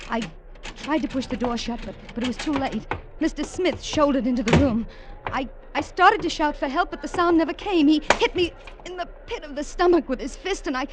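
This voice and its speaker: Young heroine-narrator, high voice, stammers when scared, hiss throughout. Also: female voice. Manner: earnest, breathless, stammering under tension. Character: young woman on the run, narrating her ordeal. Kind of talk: 1950s radio drama first-person narration. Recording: hissy old radio recording.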